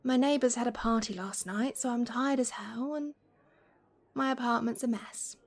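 Woman acting a high-voiced, gentle, playful best friend. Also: moderate pace. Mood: chatty, weary. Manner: gentle, teasing, flirty. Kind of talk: gentle ASMR roleplay, one-sided conversation.

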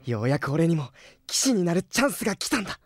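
A man's voice: fairly high pitch, very animated, quick pace, faint music behind. An actor playing a determined, proud young man training to be a knight in an anime audio drama.

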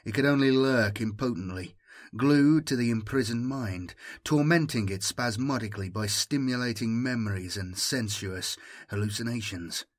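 A man with a husky voice giving a dramatic scripted narration of an audiobook story. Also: medium pitch, moderate pace.

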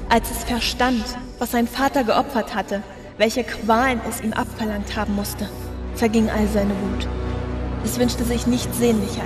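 Casting: woman acting tender young daughter figure, slightly high, deliberate storyteller, echoey, faint music. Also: natural voice. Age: young adult. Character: gentle, forgiving young woman, long-lost daughter. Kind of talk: German science fiction audio drama.

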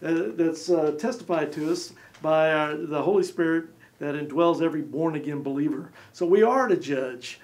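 Animated gravelly man discussing scripture. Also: on the high side, moderate pace. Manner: gestures a lot, acts out little voices. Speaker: co-host. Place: small studio set with armchairs.

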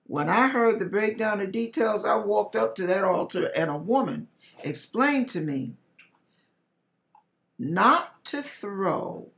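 A middle-aged woman reading a personal testimony aloud in a deliberate, dramatic storytelling manner, with pauses. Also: medium pitch, animated, vocal fry, fairly bright tone. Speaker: host reading a viewer's testimony. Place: home kitchen, indoors.

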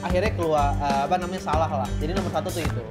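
Young male founder guest, slightly high, relaxed chat, kitchen lapel mic, music bed. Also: very fast. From Indonesia. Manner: relaxed, mixes English business terms, many fillers. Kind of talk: casual chat while cooking. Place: home kitchen, indoors. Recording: background music over the talk. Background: background music and frying sounds.

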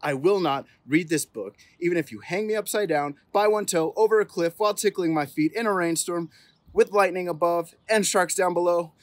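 Young male storytime reader, slightly high voice, theatrical and playful, recorded outside. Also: moderate pace, dry recording. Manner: playful, theatrical, emphatic build-ups. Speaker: police officer reading at storytime. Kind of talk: expressive children's picture-book read-aloud. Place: outdoors on an open terrace.

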